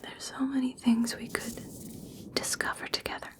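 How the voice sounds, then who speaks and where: A woman playing a gracious, coaxing elf princess in a whispered ASMR roleplay, speaking softly and soothingly with formal politeness. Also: on the high side, little pitch movement, close to an everyday voice. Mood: coaxing, veiled. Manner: soft, slow, soothing, formal. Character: regal elf princess, gracious, coaxing, subtly conditional kindness.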